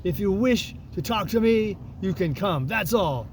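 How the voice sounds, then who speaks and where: A man's voice: sits high, expressive, unhurried, noisy background, voice pushed up. A man voicing a stern, suspicious ship's captain in an audiobook, giving curt, formal, scornful commands. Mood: stern warning. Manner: barked orders, curt and formal. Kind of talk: audiobook character voice.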